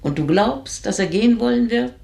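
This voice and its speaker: A woman's voice: a little high, expressive, moderate pace, gravelly, warm tone. A woman playing a shrewd, blunt older housemaid in a radio drama, knowing and insinuating. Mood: knowing, insistent. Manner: knowing, insinuating, plain-spoken. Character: shrewd, blunt older female servant, needling and watchful. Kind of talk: German radio drama acting. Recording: roomy echoing studio.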